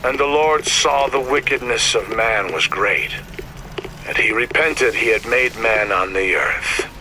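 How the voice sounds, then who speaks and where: A man's voice: medium pitch, measured pace, noisy background, natural voice. An actor playing an older male prophet-leader in a video game, speaking in a solemn preaching cadence. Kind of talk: video game cutscene acting.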